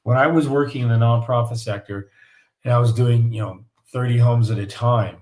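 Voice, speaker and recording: A man with a raspy voice from the flu, hosting a live question-and-answer stream and giving casual, folksy advice. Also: medium pitch, steady tone, normal speed, darkish tone. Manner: folksy, repetitive coaching tone. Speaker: host of live Q&A on home building.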